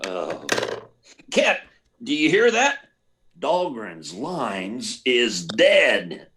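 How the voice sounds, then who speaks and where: A man playing a flustered, blustering newspaper editor in an old-time radio drama, stammering and excitable. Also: mid-range voice, drawn-out words, full character voice. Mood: agitated. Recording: live remote performance, clear background hiss.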